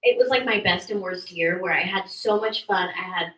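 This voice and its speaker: A young woman with a bright, forceful voice talks casually about her own experience in a group. She sounds a little away from the microphone, and the small room echoes around her. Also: on the low side, moderate pace. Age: young adult. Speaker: group discussion participant. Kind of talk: casual personal reflection in a group. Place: small indoor meeting room. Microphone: handheld microphone. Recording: echoey room, distant from mic.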